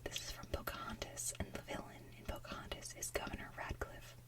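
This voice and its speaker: A woman hosting ASMR in a hushed, breathy, playful way, moving between whispered chat and soft singing. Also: a little fast. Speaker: ASMR channel host.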